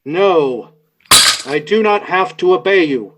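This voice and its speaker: A cold, defiant robot acted by a man in a radio drama, pitched a little high for a male voice. The manner is blunt and curt, insistent and contemptuous of the humans it refuses to serve. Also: lively intonation, slow, very noisy. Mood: stubborn, rebellious.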